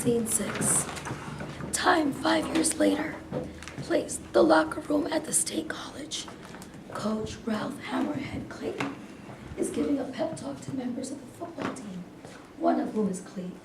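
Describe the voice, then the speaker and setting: A woman's voice: high voice, animated, slow pace. A woman reading out stage directions as scripted narration at a staged play reading, in a distant, echoey, noisy recording.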